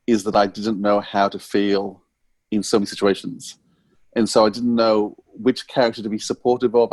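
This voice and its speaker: A man hosting a podcast interview, talking earnestly and haltingly as he searches for words. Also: on the low side, a little mellow, no echo. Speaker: podcast host.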